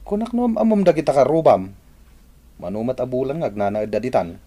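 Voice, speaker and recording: A man's voice: medium pitch, lively intonation, fairly quick, warm tone, natural voice. An actor playing a chatty village neighbor man, gossiping casually in an Ilocano radio drama. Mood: gossiping, impressed. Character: village neighbor man, chatty buddy impressed by rich family.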